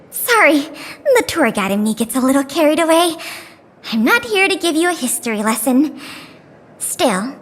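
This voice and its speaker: A woman's voice: sits high, very animated, a little slow. A woman voicing an earnest, friendly young tour guide in a video game, polite and eager to explain. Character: earnest, friendly young woman guiding visitors around a museum. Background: faint background music.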